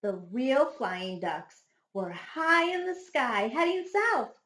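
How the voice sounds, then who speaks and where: A woman reading a children's picture book aloud like a schoolteacher, with dramatic, deliberate phrasing. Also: a little high, lively intonation, a bit bright. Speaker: first grade teacher reading a picture book. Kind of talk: expressive children's storybook read-aloud. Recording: echoey room sound.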